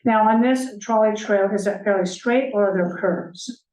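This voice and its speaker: A woman's voice: mid-range voice, normal speed, darkish tone. A woman chairing a public board meeting, asking staff pointed, leading questions. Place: council meeting room. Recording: roomy, distant meeting-room mic.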